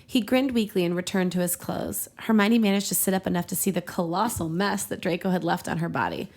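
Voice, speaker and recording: A woman's voice: on the low side, a little fast. A young woman hosting a podcast, reading fan fiction aloud with casual asides. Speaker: podcast host and reader.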